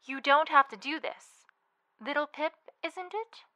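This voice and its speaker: A woman doing a stern mare leader for an audiobook, high pitched, bright and sharp, cold and unbending. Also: lively intonation, measured pace, dry recording, heavily acted. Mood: pleading. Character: stern female pony leader of a sealed shelter.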